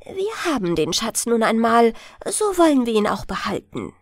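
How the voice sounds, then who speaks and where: A woman's voice: a little high, big pitch swings, normal speed, no echo. A woman voicing a sly, practical, persuasive woodcutter's wife in a fairy-tale audiobook. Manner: cunning, persuasive.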